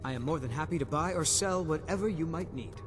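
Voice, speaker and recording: Actor as courteous vampire merchant, music behind. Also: male voice, fairly high pitch, quick pace, natural voice. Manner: polite, formal. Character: courteous, obliging male vampire castle merchant. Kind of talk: video game character acting.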